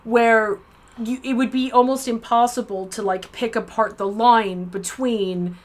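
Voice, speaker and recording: A woman co-hosting a casual livestream conversation over a video call, speaking in an animated, emphatic and bluntly joking manner. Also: mid-range voice. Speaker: co-host and editor.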